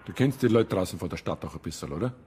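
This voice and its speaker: An actor playing a calm, matter-of-fact police officer in a radio crime drama, measured and inquisitive. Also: male voice, sits low, slight background noise. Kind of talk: naturalistic German radio crime drama acting.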